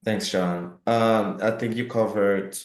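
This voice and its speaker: A man from Cameroon with a very low, flat voice, speaking in measured, unhurried sentences with many fillers. The room around him rings with a noticeable echo. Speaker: co-founder of a real estate firm. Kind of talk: conversational interview answer.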